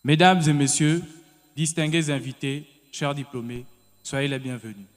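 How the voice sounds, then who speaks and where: A young man from Gabon, giving a measured, formal welcome as a student. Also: medium pitch, slight background noise. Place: hotel ceremony hall. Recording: echoey hall.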